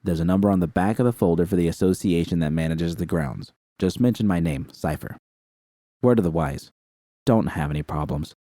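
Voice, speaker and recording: A gruff middle-aged landlord from an audiobook, as a man reads him. He rattles off terms in a curt, businesslike grumble, low and mellow in tone. The sound is dry, with no room around it. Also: a little fast, no echo.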